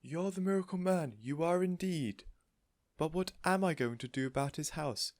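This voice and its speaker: Storybook lady neighbour, male reader: high, shrill, gushing, excitable and adoring. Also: moderate pace, no room sound, studio quality, lightly acted. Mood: proud, gushing, then fretting. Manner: shrill, exclamatory, effusive. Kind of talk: storybook character voice.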